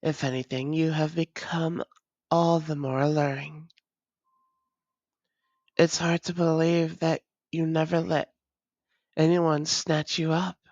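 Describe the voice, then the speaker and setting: A woman's voice: deep voice, little pitch movement, slow pace, pauses often, darkish tone, no room sound, muffled recording. A woman voicing a doting, long-lost vampire lover in an intimate audio roleplay, speaking tenderly in formal, old-fashioned phrases and often slipping toward a whisper. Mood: tender, wistful. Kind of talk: intimate romantic audio roleplay, slow and breathy.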